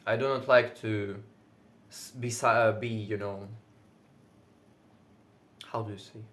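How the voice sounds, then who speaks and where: A man whose first language is Russian, speaking English with a Russian accent in casual solo talk, now and then pausing to search for words and swearing lightly. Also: on the low side, fairly even intonation. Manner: says he is loud most of the time. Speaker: video creator.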